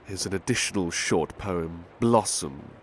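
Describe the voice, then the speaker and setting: Guy doing a big-hearted butler asmr poetry reading, really slow n gentle, bit formal, faint noise behind. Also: low-pitched. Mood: gentle, courteous. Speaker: ASMR roleplay performer. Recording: faint ambient background noise.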